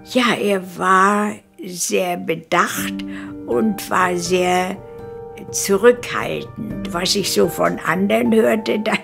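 Very creaky centenarian woman. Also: medium pitch, takes it slowly, over music. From Königsberg, East Prussia, Germany. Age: about 100. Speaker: documentary interviewee, eyewitness recalling her life. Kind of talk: reminiscing in an interview. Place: furnished living room, indoors.

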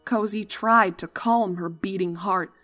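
A woman reading a scripted story as narrator, with a dramatic and expressive delivery. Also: medium pitch, a little slow, soft background music.

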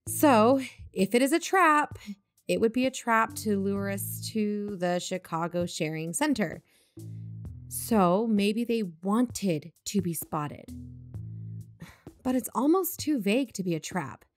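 An actress plays a female investigative podcaster in an audio drama. She is careful and analytical, weighing things in a measured, mid-pitched voice, with music behind her. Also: expressive. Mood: cautious. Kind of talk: audio drama dialogue and narration.

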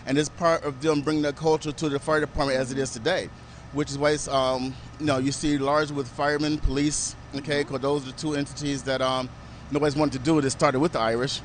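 Male veteran firefighter, 60s, fairly high voice, hesitant explainer, faint street noise from an open garage bay. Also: flat delivery, fast. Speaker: veteran firefighter and honor guard drummer. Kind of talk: live interview. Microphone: reporter's handheld microphone.

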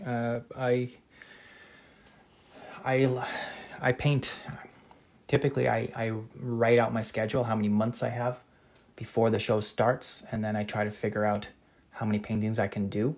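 A Canadian man in his thirties, a painter answering live-chat questions in a relaxed, casual way. He thinks aloud with many ums and restarts and articulates some words very precisely. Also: medium pitch, moderate pace, pauses often.

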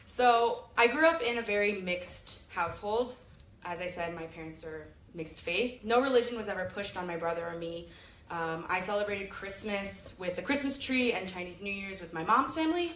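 A woman's voice: medium pitch, expressive, normal speed, a bit bright. A young woman from California giving a chatty, joking stage talk into a handheld mic in a large auditorium. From Sacramento, California. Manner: chatty, jokey, self-deprecating storytelling. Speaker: presenter. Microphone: handheld mic filmed from the audience. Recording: echoey hall, audience phone recording.

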